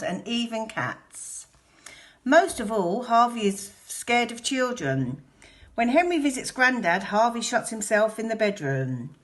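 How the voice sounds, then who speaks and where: A woman's voice: medium pitch, bright, forceful tone. A woman teacher reading a picture book aloud to young children, telling the story expressively and dramatically. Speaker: infant school teacher.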